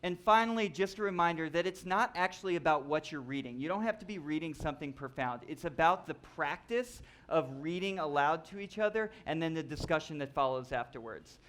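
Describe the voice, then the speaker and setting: A man speaks as one of two presenters in a live talk with slides. His voice sits a little higher than usual for a man, and he addresses an audience. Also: lively intonation, fairly quick, hardly any pauses, a bit bright.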